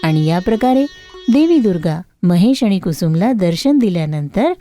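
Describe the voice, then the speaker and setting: A woman's voice: lowish voice, sing-song, normal speed, warm tone, faint music behind. A woman narrating a scripted moral story as a storyteller.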